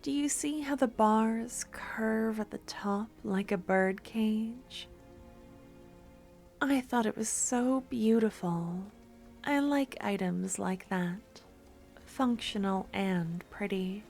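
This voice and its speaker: A woman voicing a jealous female merchant in a scripted ASMR roleplay, speaking softly and sweetly, with a possessive streak. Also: mid-range voice, slow, creaky, faint music behind. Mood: sweet, teasing. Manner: soft-spoken, sweetly teasing, turning possessive.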